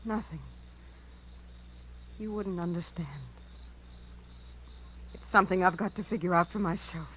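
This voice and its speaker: An actress playing an earnest, devoted young small-town niece in a 1950s radio drama. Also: female voice, on the low side, fairly even intonation, measured pace, long pauses, close to an everyday voice. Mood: troubled. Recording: hissy, humming 1950s radio recording.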